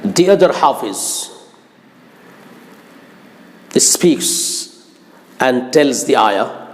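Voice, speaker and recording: A man giving an instructive religious lecture as a teacher, deliberate and emphatic, repeating phrases for weight. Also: mid-range voice, animated, a little hiss or hum. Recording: long hall-like reverb.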